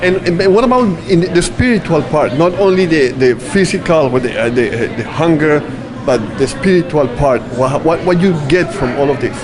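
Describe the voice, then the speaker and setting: A man's voice: on the high side, normal speed. Man hosting an interview among a crowd. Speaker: show host. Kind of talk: on-location interview. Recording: noisy crowd around.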